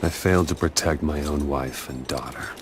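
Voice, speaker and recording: Game role: grieving veteran fighter, rock-bottom pitch, grim, few words, noisy track. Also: male voice, moderate pace. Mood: weary, resigned. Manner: grim, terse. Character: weary, haunted warrior who lost his family. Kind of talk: dramatic video game cutscene acting. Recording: noisy game cutscene audio.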